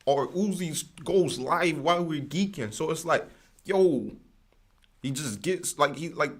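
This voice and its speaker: A man's voice: a little high, expressive, unhurried. A man hosting a livestream in casual, rambling slang with lots of fillers. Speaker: livestream host.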